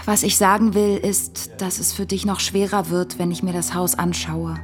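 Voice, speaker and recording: A woman's voice: fairly low pitch, fairly even intonation, normal speed, soft background music, close to an everyday voice. An actress playing a reluctant widow in a radio drama, speaking carefully, hesitantly and earnestly as she turns a suitor away. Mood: regretful, earnest. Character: reluctant young widow, gently but firmly rejecting a suitor.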